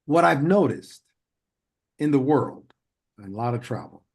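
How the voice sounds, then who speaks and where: A man's voice: medium pitch, lively intonation, a little slow. A man hosting an interview podcast, chatting casually and wandering into long stories with frequent laughter. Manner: rambling, chatty storyteller who laughs often. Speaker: podcast host.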